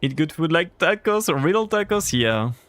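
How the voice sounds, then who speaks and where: A man's voice: a little high, big pitch swings, moderate pace, a bit bright, no echo. Rambling French-accented man streaming a game. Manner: chatty, rambling, answers chat. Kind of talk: casual livestream chat while gaming.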